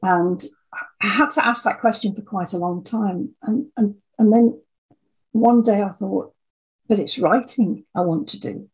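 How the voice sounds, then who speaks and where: An older woman, over sixty, talking reflectively in an interview, thoughtful and halting as she repeats words. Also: mid-range voice, breathy edge, rough recording. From Scotland. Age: 60s or older. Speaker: interview guest, audio dramatist.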